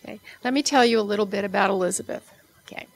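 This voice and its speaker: A soft-spoken woman in her fifties addresses a banquet hall through a podium microphone. Her voice has a gravelly fry at mid pitch, and her pace is measured with the odd filler. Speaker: associate provost. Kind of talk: speech at an award event.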